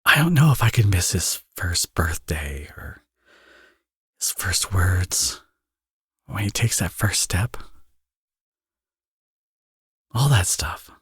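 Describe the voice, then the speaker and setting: A man playing a teasing villain who is a tender father, in an intimate ASMR audio roleplay, with a husky, breathy, soft-spoken delivery. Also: low voice, sing-song, takes it slowly, no room sound, studio quality, full character voice. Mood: tender, wistful.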